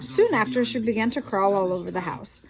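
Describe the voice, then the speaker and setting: A woman voicing a patient mother in a storybook, gentle and coaxing with her child. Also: medium pitch, expressive, a little fast, crowd noise, close to an everyday voice, low-quality recording.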